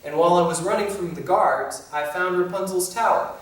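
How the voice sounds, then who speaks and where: A man's voice: high voice, brisk, fairly bright tone. A man playing an easygoing young fairytale thief, telling stories to children in a friendly way. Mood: easygoing, upbeat. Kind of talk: scripted children's educational presentation in character. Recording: very echoey room, distant mic, faint music.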